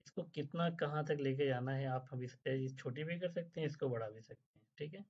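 Male instructor, husky mid-pitched voice, patient step-by-step tutorial delivery, slightly dull lo-fi voice-over. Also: moderate pace, darkish tone. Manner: explains step by step, often checks in with "theek hai?"; mixes English phrases into Hindi. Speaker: drafting instructor. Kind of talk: screen-recorded software tutorial. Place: voice-over over screen capture.

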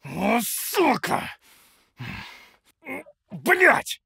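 A cocky taunting gangster thug from a shooter game, sharp forceful voice, super dry sound, street slang swagger. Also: male voice, huge pitch swings, very slow, gravelly, slightly rough, dry recording, heavily acted. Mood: taunting, aggressive. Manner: mocking street-slang swagger, from barked taunts to low muttering. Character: cocky, taunting, foul-mouthed Russian male gangster fighter, brutal and gleeful. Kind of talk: video game combat voice lines.

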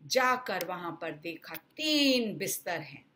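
A woman's voice: lowish voice, very animated, slow pace, sharp tone, a little hiss or hum. An older woman in her sixties telling a children's story with dramatic emphasis, speaking directly to young listeners as host. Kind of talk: expressive bedtime storytelling for children.

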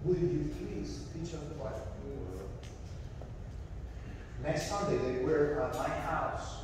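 A young Salvadoran man with a high voice preaches in a Spanish-accented English, telling animated stories as he paces. He is picked up by a camera far off in a big, echoing fellowship hall with a long reverb tail. A faint hum of room noise sits behind him. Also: slow pace. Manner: animated storytelling, gesturing while pacing. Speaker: missionary. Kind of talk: sermon. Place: large church fellowship hall. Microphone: far camera, no mic.

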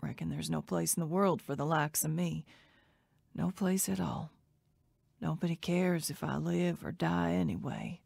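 A woman's voice: deep voice, measured pace, gravelly, a little mellow, slightly rough, no room sound, clean studio sound, heavily acted. An actress playing a weary, despairing mother in her forties who rambles and repeats herself in a radio drama. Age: 40s.

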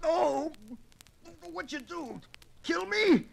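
An actor playing a brash, excitable lumberjack in a 1950s radio drama, straining his voice. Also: very high-pitched, drawn-out words, creaky, exaggerated voice. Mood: defiant, loud. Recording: 1950s radio recording, faint noise.

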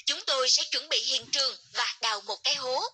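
High-pitched woman guiding a children's game. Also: brisk, very noisy. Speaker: game narrator giving instructions. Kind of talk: scripted children's game prompts.

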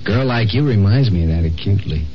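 An American actor playing a brash, restless, wisecracking patient in a 1950s radio drama. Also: male voice, fairly low pitch, lively intonation, fast, natural voice. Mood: flirtatious, lonesome. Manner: wisecracking, impatient, slangy. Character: brash, restless, lonesome male patient from the past. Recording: muffled, noisy old radio recording.

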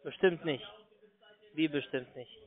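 Higher-voiced man on a phone line. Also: fairly even intonation, measured pace, no echo. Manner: repetitive, stumbling for words, needling back. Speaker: call centre telephone caller. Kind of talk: unscripted sales call turned argument. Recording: thin narrowband phone line.

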